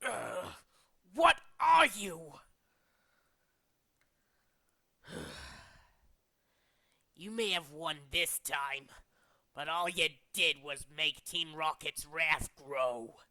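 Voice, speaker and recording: A man's voice: on the high side, expressive, very slow, long pauses, sharp tone, no room sound, exaggerated voice. A man voicing a cold, menacing male gang executive in a video game, with a condescending, theatrical way of threatening. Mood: shocked anger. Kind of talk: video game villain character acting.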